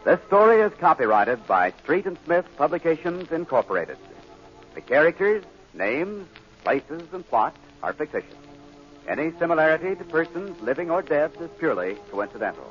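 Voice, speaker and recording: A man's voice: fairly high pitch, big pitch swings, normal speed. A man reading a scripted program announcement in 1940s radio style. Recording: dull old radio recording. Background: music.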